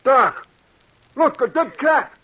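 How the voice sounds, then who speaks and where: An actor playing a genial, folksy judge who is easily rattled, in old radio drama style. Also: male voice, very high voice, lively intonation, slow pace, lo-fi sound, exaggerated voice. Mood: uneasy. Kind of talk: 1940s radio acting.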